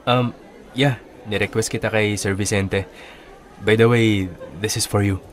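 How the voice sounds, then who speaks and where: An actor as a low-voiced, confident young Filipino suitor. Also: male voice, steady tone, unhurried, a little hiss or hum, natural voice. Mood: smooth, tentative. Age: young adult. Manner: casual, switches between Tagalog and English. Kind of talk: radio drama dialogue in Taglish.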